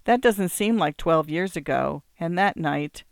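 A woman's voice: fairly low pitch, expressive, a little slow, vocal fry, no room sound, studio quality. A woman reading aloud, voicing a gushing, clingy woman who calls everyone darling. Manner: gushing, says darling often. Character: clingy, flighty old woman friend Joan.

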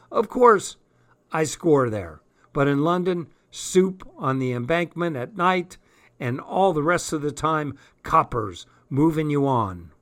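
A man doing a husky street boy. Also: on the high side, fairly bright tone, no echo. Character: frail teenage boy tramp, cockney, husky.

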